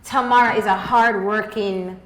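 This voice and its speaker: A woman's voice: medium pitch, fairly even intonation, normal speed, a bit bright. A woman with a Jamaican accent bantering playfully and emphatically in casual podcast chat. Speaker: podcast host and stage actress. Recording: roomy echo, faint music behind.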